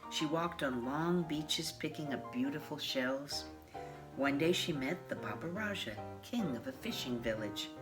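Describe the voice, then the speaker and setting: A woman of mature years reading a children's picture book aloud in a measured, expressive way. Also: low-pitched, poor audio. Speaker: storybook reader. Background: classical piano music.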